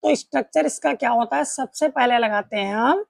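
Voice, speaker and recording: A woman's voice: fairly high pitch, fairly quick, gravelly, dry recording, studio quality. A woman teaching a patient, step-by-step grammar lesson, asking questions and answering them herself. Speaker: English teacher.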